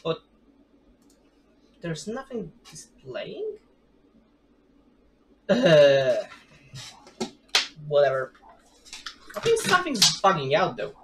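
Chatty slow-talking man streaming a game. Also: a little high, wildly swooping pitch, noisy background. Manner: rambling, chatty, self-deprecating, laughs often. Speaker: gaming streamer. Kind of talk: casual let's play commentary. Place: home room with gaming chair.

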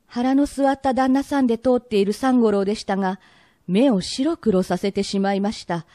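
Mellow woman narrator, dry recording. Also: fairly high pitch, moderate pace, dry recording. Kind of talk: polite storytelling narration for period drama.

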